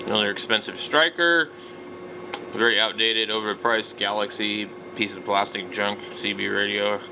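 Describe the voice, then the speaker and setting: Casting: middle-aged male hobby vlogger, lowish voice, blunt, off-the-cuff delivery, clearly noisy recording. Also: fairly even intonation, moderate pace. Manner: blunt, opinionated, mildly slangy. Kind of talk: casual, unscripted product browsing commentary.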